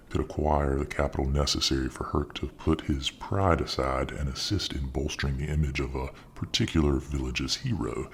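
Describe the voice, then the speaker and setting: A man narrating a story in a slow, soft ASMR style, often close to a whisper, with a very deep voice. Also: a little hiss or hum.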